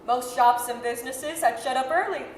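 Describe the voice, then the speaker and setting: A woman narrating a radio drama as a measured, articulate, dramatic storyteller. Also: high-pitched, natural voice. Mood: storytelling. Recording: echoey hall, faint noise.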